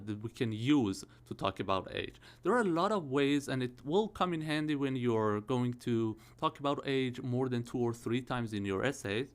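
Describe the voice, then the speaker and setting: A man in his early thirties teaching English grammar for an exam, explaining step by step and often checking with "all right?" and "okay?". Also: mid-range voice. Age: 30s. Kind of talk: IELTS grammar lesson.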